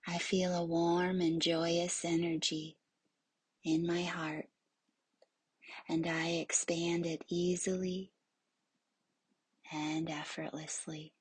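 Hushed, very breathy woman guiding meditation. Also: fairly low pitch, little pitch movement, dry recording. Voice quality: breathy, husky, often near a whisper. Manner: soothing, hushed, with long pauses. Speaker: yoga nidra instructor. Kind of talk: guided meditation.

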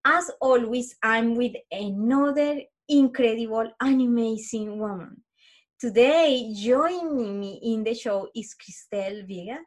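A woman's voice: fairly high pitch, unhurried. A woman hosting an interview show, introducing her guest in an earnest, effusive way with small stumbles. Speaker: podcast host.

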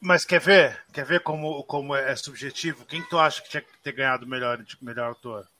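A Brazilian man co-hosting a casual film podcast, animated and full of restarts and fillers. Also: mid-range voice, a little fast. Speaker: podcast co-host.

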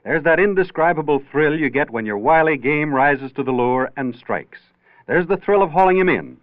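Animated male announcer, dry vintage radio. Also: on the high side, moderate pace, no room sound. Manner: folksy, emphatic salesmanship. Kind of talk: 1940s scripted radio advertisement. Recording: narrow-band old radio recording.

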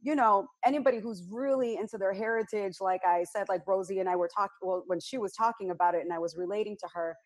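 A woman from the south side of Chicago, a radio personality speaking as a panel guest in an outspoken, blunt, animated storytelling manner. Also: mid-range voice, fast talker, few pauses. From United States, south side of Chicago. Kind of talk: candid panel discussion.

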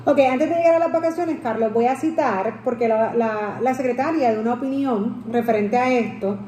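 A woman's voice: a little high, moderate pace, mellow. A woman with a Puerto Rican Spanish accent hosting a conversational interview and putting questions to a guest. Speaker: interviewer, host. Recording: echoey room with some background noise.

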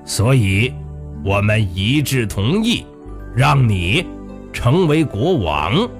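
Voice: medium pitch, very animated, slow pace, over music. A storyteller voicing a solemn, stately old wizard who speaks with approval in a children's fairy tale. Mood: solemn, approving.

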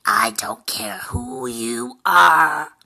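A woman voicing a big, terrifying, grumpy troll in a storybook tale, with a gravelly, husky growl and loud delivery. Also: big pitch swings, exaggerated voice. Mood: menacing, angry. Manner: slow, loud, booming delivery.

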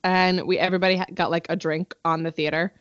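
A woman's voice: on the low side, little pitch movement, fast, clear, resonant tone, no room sound, lo-fi sound. A young woman co-hosting a casual chat, telling stories in an upbeat way and laughing along.

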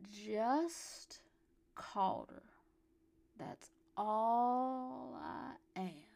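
A boyish, happy drunk Southern man, performed by a woman narrator, slurs his way through a story. His halting drawl drags slowly, with grunts and a creaky edge. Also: a little high, a little mellow, heavily acted. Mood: sleepy, happy.

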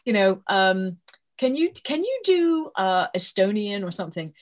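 A woman's voice: medium pitch, unhurried. An American woman in her thirties, a voice actress chatting as an interview guest, telling stories with a self-deprecating laugh. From United States. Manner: laughs often, self-deprecating, lively storytelling. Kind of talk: casual interview chat over a call.

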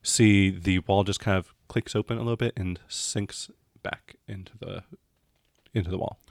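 The man at the head of a game night table, low and close on a dry mic, feeling out each room as he describes it. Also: steady tone, normal speed, creaky, dry recording. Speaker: game master. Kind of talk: narrating a tabletop role-playing game.

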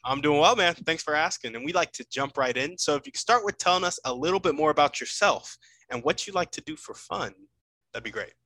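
A man's voice: fairly high pitch, expressive, fairly quick, sharp tone, dry recording. A man hosting a podcast in a casual, informal and encouraging interview style.

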